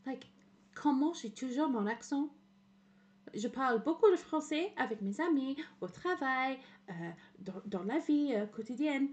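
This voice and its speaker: A woman's voice: sits high, lively intonation, a little slow, lo-fi sound. An Englishwoman with an English accent, speaking French as a streamer in casual commentary, hesitant with many fillers and English words slipped in. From England. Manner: hesitant, frequent "euh" fillers, mixes in English words, laughs often.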